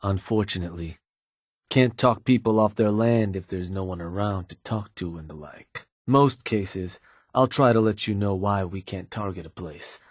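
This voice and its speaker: An actor playing a shrewd, easygoing American raider lieutenant in a video game, explaining things to his boss in a laid-back, folksy, measured way with a slightly rough voice. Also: fairly low pitch, dry recording, studio quality, natural voice. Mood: calm, practical. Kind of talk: video game character dialogue.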